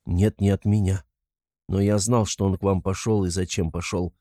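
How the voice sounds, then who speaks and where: A man's voice: on the low side, moderate pace, darkish tone, no room sound, clean studio sound, natural voice. A man playing a proud, overwrought young male suspect in a novel, speaking in emphatic, clipped, defiant declarations. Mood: curt.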